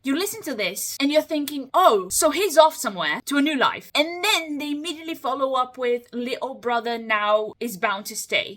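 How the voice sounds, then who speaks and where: A woman's voice: high voice, fairly bright tone. A woman hosting a reaction video, chatting casually, breaking down what she hears and often laughing. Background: faint musical soundtrack playing.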